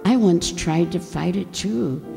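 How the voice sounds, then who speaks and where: An older woman playing an eerie, menacing undead stranger in a radio mystery play, speaking deliberately and ominously. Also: fairly low pitch, vocal fry, mellow. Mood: ominous, knowing. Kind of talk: old-time radio mystery acting. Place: stage in a community hall, stand microphone. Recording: echoey hall, music over voice.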